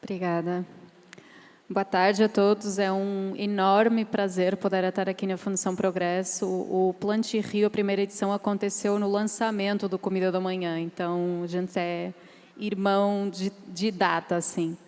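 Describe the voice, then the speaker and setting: A woman speaks on a seminar panel in a measured, careful way. Her voice sits at a middle pitch. The sound carries a long reverb from a large hall. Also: flat delivery, a little mellow. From Portugal. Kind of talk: seminar talk with a read passage.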